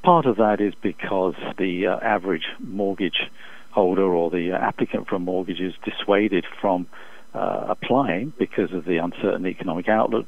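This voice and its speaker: A man speaking as an expert economist in an interview over the phone, giving measured, formal, halting analytical answers. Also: sits low, vocal fry. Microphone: telephone. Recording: dull, muffled phone interview line.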